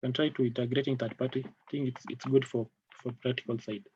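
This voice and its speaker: A man with a Kenyan accent leading a live technical product training online, speaking haltingly and repeating phrases. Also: medium pitch, steady tone, darkish tone, a little hiss or hum. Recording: dull lo-fi online call audio.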